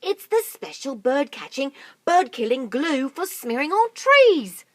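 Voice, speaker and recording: A woman voicing an excitable, bossy male monkey father who shouts urgent orders in a shrill voice. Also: unhurried, dry recording, exaggerated voice. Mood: gleeful.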